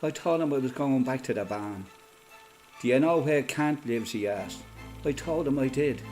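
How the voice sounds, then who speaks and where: A man with an Irish accent playing a resolute, plain-spoken freedom fighter giving a matter-of-fact, measured first-person testimony in a radio play. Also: mid-range voice, a little mellow, faint music behind, close to an everyday voice. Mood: matter-of-fact recollection.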